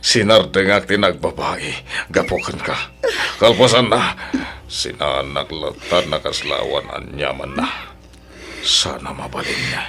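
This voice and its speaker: A man's voice: extremely low pitch, a little slow, pushed and bright, a little hiss or hum, heavily acted. A man acting an evasive, callous, unfaithful husband in an audio drama, dismissive and emotive. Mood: defensive.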